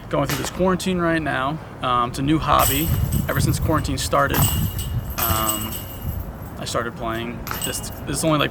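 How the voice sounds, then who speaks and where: A man's voice: mid-range voice, creaky, background noise. A man answering a street interview casually and hesitantly, with frequent fillers, outdoors in a park. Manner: hesitant, lots of um and like. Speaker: interviewee, disc golf player.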